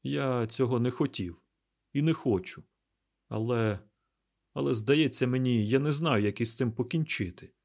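A male narrator performs a burdened young fantasy hero who never wanted his lot. The voice is mid-pitched, earnest and hesitant, in an audiobook style. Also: normal speed, gravelly, a little mellow. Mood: weary. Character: weary, troubled, reluctant young fantasy hero.

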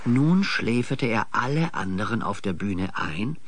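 The narrator of a children's adventure radio play. His voice is lowish and husky, telling the story in measured, plain sentences. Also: animated.

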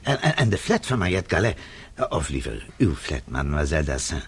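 An actor playing a grave, courteous middle-aged gentleman in a radio play, with a husky voice and halting manner. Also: sing-song, exaggerated voice. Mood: halting realisation. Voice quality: very husky, deep. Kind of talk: Dutch radio play acting.

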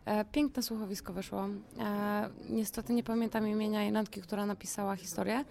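Creaky-voiced, effusive woman in casual interview. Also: medium pitch, flat delivery, moderate pace, crowd noise. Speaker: interviewed audience guest. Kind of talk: casual post-event interview.

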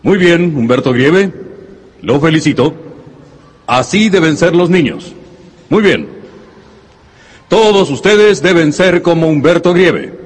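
Un actor interpreta a un director de escuela formal y pomposo, de voz ronca, en una radionovela. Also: male voice, on the high side, big pitch swings, slow, pauses often, slightly rough, exaggerated voice. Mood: solemn approval. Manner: pompous, speechifying. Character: formal, solemn male school director praising pupils. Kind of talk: radio drama acting. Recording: long hall reverb.

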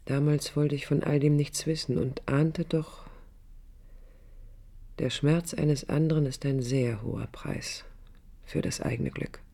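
A woman's voice: deep voice, steady tone, a little slow, gravelly, pauses often, mellow, heavily acted. An actress playing a passionate, searching young woman in a radio play, reflective and intimate, sometimes close to a whisper. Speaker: radio drama actress, narrator and lead. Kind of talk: 1980s German radio play acting, intimate monologue. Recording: music under a studio radio recording.

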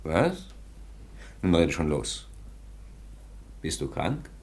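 A low voiced police officer, curt questions, swingy intonation, german radio drama style. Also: male voice, very animated, drawn-out words, darkish tone, full character voice. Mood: probing, puzzled. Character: brisk, commanding male police officer on night watch. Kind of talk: radio play acting.

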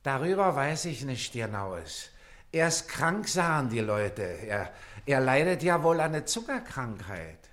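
An actor playing a servile, dutiful clerk in a German radio play, deferential, formal and halting as he reports to his superior. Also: mid-range voice, very animated, fairly bright tone. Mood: hesitant, obliging.

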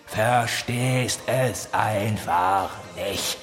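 A man playing an insistent village drunkard in a radio play, with drawn-out, halting speech. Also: lowish voice, big-room sound, soft background music, pitched above the performer's own voice. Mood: drunken, insistent. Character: slurring male village drunkard, slow and insistent.

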